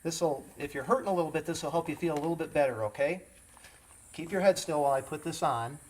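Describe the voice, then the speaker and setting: Male EMT for an educational demo, calming a young patient with plain explanations, slight background noise. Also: fairly high pitch, fast talker. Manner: reassuring, explains each step simply. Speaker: EMT with a rescue squad. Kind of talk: educational demonstration talking to a child patient.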